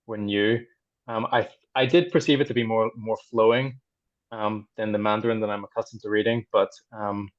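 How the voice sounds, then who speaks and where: Hesitant low-voiced man, lo-fi call. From Ireland. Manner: hesitant, many ums and restarts. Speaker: translator, panel guest. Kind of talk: conversational answer in a panel discussion.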